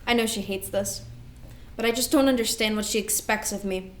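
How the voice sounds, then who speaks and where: A woman's voice: mid-range voice, brisk, close to an everyday voice. Woman acting an anxious, protective older sister, echoey. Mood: anguished. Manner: worried, pleading. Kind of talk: stage play reading.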